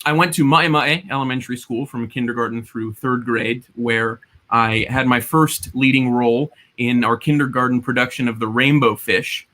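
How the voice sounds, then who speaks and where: A man's voice: mid-range voice, steady tone, normal speed, hardly any pauses, pushed and bright. A young man from Hawaii, a guest chatting casually and a bit self-deprecatingly, with frequent restarts. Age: young adult. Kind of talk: casual interview chat.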